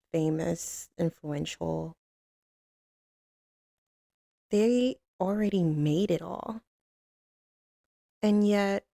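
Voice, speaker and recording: Casting: woman as a commanding female mafia boss, slow drawl, dark velvety tone, tough but soft on her man, intimate ASMR roleplay. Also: lowish voice, vocal fry, no echo, clean studio sound, exaggerated voice. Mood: disgusted, scornful. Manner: drawling, teasing, streetwise, with pet names.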